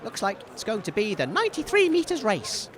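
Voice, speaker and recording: A man playing an excitable, good-natured comic sports commentator, enthusiastic but hesitant and easily flustered. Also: high voice, moderate pace, a crowd in the background. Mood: cheerful announcing. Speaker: sports commentator and radio co-host.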